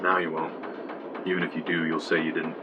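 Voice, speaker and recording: An actor playing a haunted, fatalistic young man telling his story gravely in an audio drama. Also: very deep voice, little pitch movement, brisk, highs cut off. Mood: bleak, ominous. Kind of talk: dramatic first-person narration, audio drama. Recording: clear ambient noise behind.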